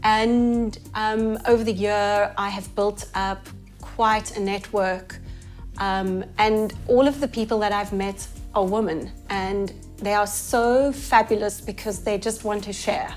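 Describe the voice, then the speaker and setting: Woman in film, mid voice, upbeat and excited about her network. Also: medium pitch, takes it slowly, soft background music. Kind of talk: documentary interview.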